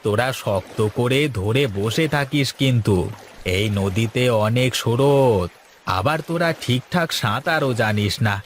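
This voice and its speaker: A man playing a caring, protective poor boatman father in a cartoon, speaking gently and cautiously. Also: medium pitch, a little slow, a little hiss or hum, lightly acted. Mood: caring, cautioning. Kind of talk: cartoon dubbing.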